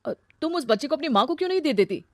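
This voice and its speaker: A woman's voice: sits high, animated, very fast, no echo, studio quality, voice pushed up. A woman voicing a young male suitor and husband in a cartoon story, talking in an insistent manner. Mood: concerned, uneasy.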